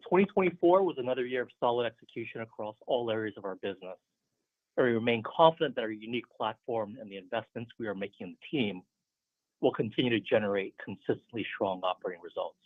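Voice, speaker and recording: A man presenting as a finance executive, reading a formal scripted financial report in a steady, businesslike way. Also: fairly low pitch, no echo. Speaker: finance executive on an earnings call. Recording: muffled narrow phone conference line.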